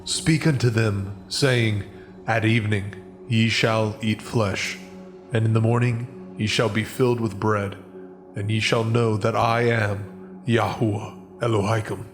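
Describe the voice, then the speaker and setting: An actor playing God as a solemn, commanding male voice, slow and stately, in a dramatized scripture reading. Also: on the low side, little pitch movement, faint music behind, close to an everyday voice.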